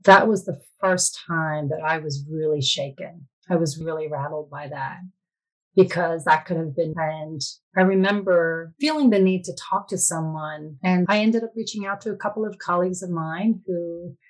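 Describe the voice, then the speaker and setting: A woman's voice: on the low side, moderate pace, poor audio. A woman interview guest giving an unscripted personal account.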